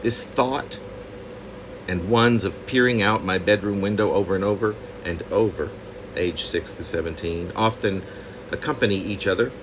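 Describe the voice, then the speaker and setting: A man reading his own poem reflectively, in deliberate, halting phrases with pauses. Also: sits low, background noise. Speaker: poet reading own work.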